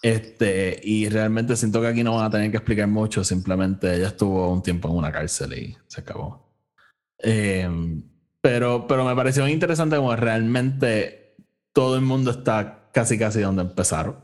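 Podcast host, male, slightly deep voice, relaxed bilingual review chat. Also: moderate pace. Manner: mixes English phrases into Spanish.

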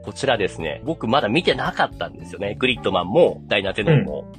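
A man's voice: fairly low pitch, big pitch swings, quick pace, faint music behind. A man in his thirties casually chatting and explaining as a podcast host.

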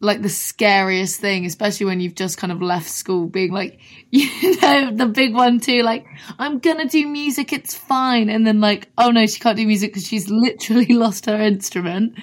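A young woman from London with a husky voice talks easily as an interview guest. Her speech is casual and full of "like", with a mid-range pitch. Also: fairly even intonation, moderate pace. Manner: chatty, peppered with "like". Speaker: singer-songwriter guest.

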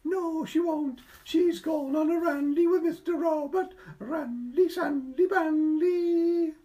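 High-voiced, cheeky little boy. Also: fairly even intonation, slow pace, mellow. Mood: defiant, sing-song.

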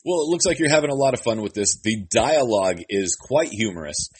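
A man's voice: mid-range voice, expressive, a little fast, slightly rough. A male interviewer asking long, rambling questions full of fillers. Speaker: entertainment interviewer. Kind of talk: press interview.

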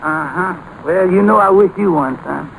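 High, gravelly, gentle folksy father. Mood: warm, friendly. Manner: gentle, folksy, unhurried explaining. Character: loving, patient father explaining prayer to young daughter. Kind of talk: old-time radio drama acting. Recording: very noisy old radio broadcast recording.

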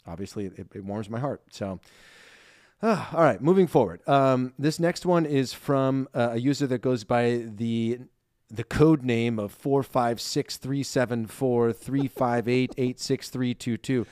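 A young man co-hosting a podcast, reading listener reviews in a lively, self-deprecating chat and laughing easily. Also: medium pitch, measured pace, no echo, studio quality. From Ireland. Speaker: podcast co-host.

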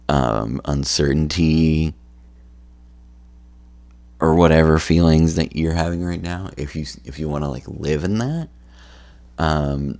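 Man, tired and phlegmy, extremely deep, slow rambling talk, dry close sound. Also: darkish tone, no echo. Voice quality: gravelly, low voice. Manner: slow, rambling with many ums and restarts. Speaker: podcast host. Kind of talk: solo podcast chat.

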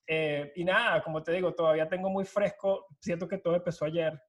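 A man's voice: a little high, fairly even intonation, fairly quick. A Venezuelan man in his thirties, a startup co-founder, telling his story at length over a video call and dropping English words into his Spanish. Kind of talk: casual storytelling interview.

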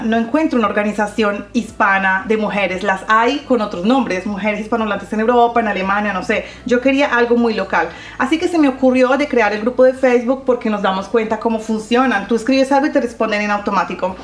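A middle-aged woman on a radio show, explaining things steadily with pauses and fillers. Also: on the high side, roomy sound. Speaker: radio show host, interview guest. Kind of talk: conversational radio interview.

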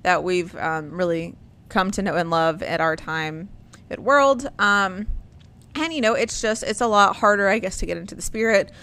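A woman's voice: mid-range voice, moderate pace, sharp tone, smooth. A woman, hosting a casual chat and rambling with lots of ums and likes.

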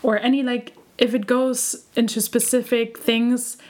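A young woman from Germany who speaks German natively, chatting casually as a podcast co-host and often pausing with fillers and restarts. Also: a little high, little pitch movement, darkish tone. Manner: hesitant, many fillers and restarts. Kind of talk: casual chat.

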